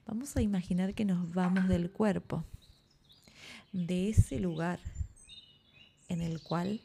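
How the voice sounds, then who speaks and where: Slow, mellow, creaky woman guiding relaxation. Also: on the low side, fairly even intonation, slight background noise. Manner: soothing, unhurried instructions with long pauses. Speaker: yoga nidra instructor. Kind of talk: guided relaxation meditation.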